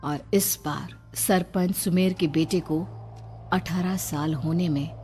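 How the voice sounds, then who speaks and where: A woman's voice: on the low side, steady tone, takes it slowly, dark tone, breathy edge. A woman storyteller reading a scripted horror tale in a dramatic narrating style. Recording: background music under narration.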